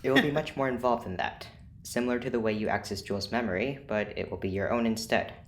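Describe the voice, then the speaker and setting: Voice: mid-range voice, expressive, fast talker, slight background noise, close to an everyday voice. An actor in an audio drama playing a cool, controlled scientist who speaks formally and precisely. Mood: detached, businesslike. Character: cool, clinical scientist doctor, controlled and persuasive. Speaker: scientist running experiments.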